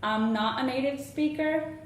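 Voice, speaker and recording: A woman in her twenties with a Venezuelan Spanish accent, a graduate student answering an interview question in an earnest, measured way. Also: high-pitched, a little hiss or hum. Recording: echoey room, voice from a distance.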